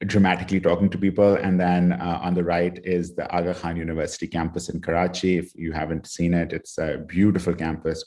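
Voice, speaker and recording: A man from Pakistan, a pathologist, giving a relaxed online talk over a video call, with many "you know" and "kind of" fillers. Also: deep voice. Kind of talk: online lecture with slides.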